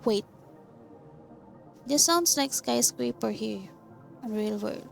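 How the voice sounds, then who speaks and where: A woman comments casually on a game as she plays. Her voice is high and heavily creaky, with blunt, puzzled, slowly paced reactions over loud game music. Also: expressive. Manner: bemused, blunt, swears in short reactions. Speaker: gaming streamer.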